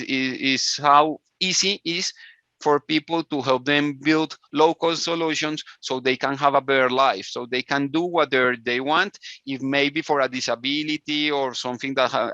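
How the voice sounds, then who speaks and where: Bright Colombian man, halting English. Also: mid-range voice, no room sound. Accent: Colombian Spanish. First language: Spanish. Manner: halting, many restarts, laughs often. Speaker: guest inventor. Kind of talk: video call interview. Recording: dull lo-fi call audio.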